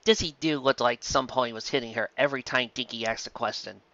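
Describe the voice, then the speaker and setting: A man's voice: mid-range voice, fast talker, sharp tone, no echo, lo-fi sound. A man reading a scripted story aloud as narrator. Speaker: narrator, reader.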